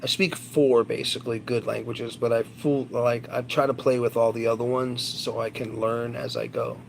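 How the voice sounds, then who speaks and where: A man's voice: medium pitch, flat delivery, moderate pace, vocal fry, a little hiss or hum. A man chatting casually to his viewers on a livestream, rambling, boastful and crude. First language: English.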